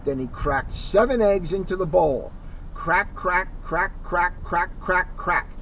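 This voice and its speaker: Fairly high-voiced man reading a storybook. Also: takes it slowly, fairly bright tone. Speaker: police officer reading a children's book. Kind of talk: read-aloud storytelling with casual asides. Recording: muffled, distant mic, clear background noise.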